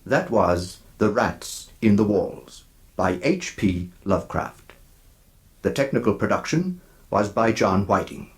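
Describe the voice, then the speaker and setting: A man hosting a radio drama, reading a scripted announcement in a measured, formal manner. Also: lowish voice, big pitch swings.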